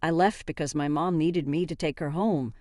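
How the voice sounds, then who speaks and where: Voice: medium pitch, moderate pace, darkish tone, dry recording, clean studio sound, close to an everyday voice. A narrator voicing a cold, indifferent husband in a story, curt and detached. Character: cold, indifferent husband siding with his mother.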